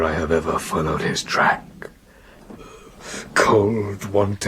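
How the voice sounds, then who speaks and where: An actor playing a grief-stricken, obsessed young scientist, speaking solemnly and theatrically in a radio drama. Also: male voice, low-pitched, noisy background. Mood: weary, haunted. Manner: slow, solemn, anguished, theatrical. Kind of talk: radio drama acting and narration.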